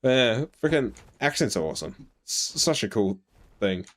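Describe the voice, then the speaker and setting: A man's voice: medium pitch, very animated, normal speed. A man with an Australian accent chatting casually on a live game stream, rambling with lots of fillers as he answers his chat. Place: home in New Zealand.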